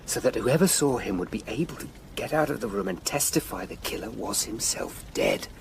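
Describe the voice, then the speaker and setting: An actor playing an anxious, sharp-minded Tudor lawyer investigating crimes in a period radio drama. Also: male voice, mid-range voice, sing-song, a little fast, creaky, whispered, poor audio. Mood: urgent, deducing.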